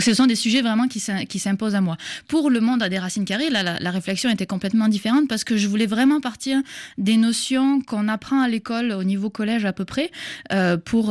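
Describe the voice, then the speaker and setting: A woman's voice: fairly high pitch, fairly quick, a little mellow, dry recording, studio quality. A woman in her thirties from southern France, with an accent mixing southern French and Québécois, explaining science as an interview guest and often restarting her sentences with fillers. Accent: southern French mixed with Québécois. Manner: hesitant, many restarts and fillers.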